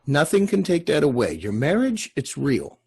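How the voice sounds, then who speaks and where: A man reading the part of an earnest, fatherly man in a drama, speaking haltingly and emphatically. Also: on the high side, wildly swooping pitch, darkish tone, husky, dry recording, clean studio sound, heavily acted. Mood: firm, reassuring. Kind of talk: dramatic table read.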